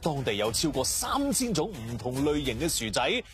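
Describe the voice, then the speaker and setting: A man's voice: mid-range voice, big pitch swings, moderate pace, a bit bright. A man with a Hong Kong Cantonese accent, presenting and narrating a scripted documentary. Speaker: host and narrator. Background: music.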